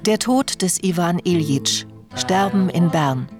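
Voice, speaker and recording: An announcer reading radio play credits in a measured, formal, scripted manner. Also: medium pitch, over music.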